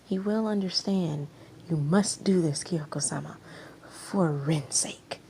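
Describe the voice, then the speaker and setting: Pleading male manager for a story reading, read by a woman: urgent, insistent, lowish mellow voice, faint noise. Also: takes it slowly, gravelly, full character voice. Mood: imploring. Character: pleading, persuasive, anxious male talent manager.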